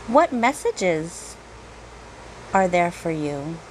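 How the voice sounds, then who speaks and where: A woman leading a guided meditation in a gentle, unhurried manner, leaving long pauses between phrases. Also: fairly low pitch, very animated, a little hiss or hum, poor audio. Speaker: meditation guide, reiki teacher. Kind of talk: guided meditation, slow soothing narration.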